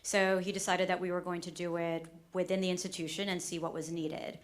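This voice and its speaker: Low-voiced woman physician on a panel. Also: flat delivery, fairly quick. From United States. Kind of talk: conference panel talk.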